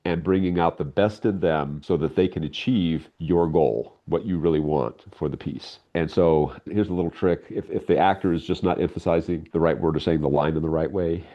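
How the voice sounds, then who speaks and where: A man's voice: low-pitched, normal speed, few pauses, lo-fi sound. An older man talking conversationally as an interview guest, explaining his craft as a director with emphatic points and short acted-out examples. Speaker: guest, audio drama director and writer. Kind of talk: conversational interview with practical advice.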